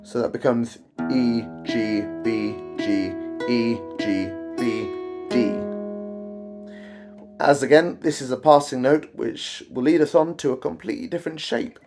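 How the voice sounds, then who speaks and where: A man teaching a guitar arrangement in a casual, wry, self-deprecating way, his voice croaky from an oncoming cold. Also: medium pitch, steady tone, unhurried. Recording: guitar playing behind the voice.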